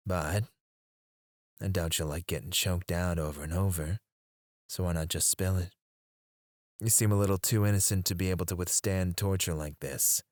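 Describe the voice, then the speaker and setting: A man voicing a menacing male mercenary interrogator in an ASMR roleplay, speaking in a low, taunting way close to the mic, sometimes dropping to a whisper. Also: fairly even intonation, normal speed, pauses often, a little mellow, no room sound, lightly acted.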